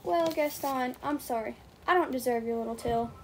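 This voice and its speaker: Woman as a high-voiced, sassy cartoon heroine. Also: normal speed, darkish tone. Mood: dismissive. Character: sassy, unimpressed young heroine in cartoon parody. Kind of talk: amateur comedic voiceover dub of an animated film. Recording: clearly noisy amateur voiceover with clicks.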